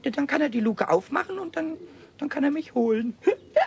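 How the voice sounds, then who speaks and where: Squeaky, very high little kobold. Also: male voice, moderate pace, creaky, full character voice. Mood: scheming, gleeful. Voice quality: squeaky, shrill. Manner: stammering excitedly, repeating words, exclaiming. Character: cheeky little male kobold, childish, excitable, easily scared. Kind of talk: 1970s children's radio play acting.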